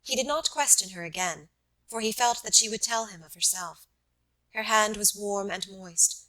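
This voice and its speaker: A woman narrates literary fiction for an audiobook. Her voice sits in a middle register with a clear bright edge, and her phrasing is measured and precise. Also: medium pitch, rough recording.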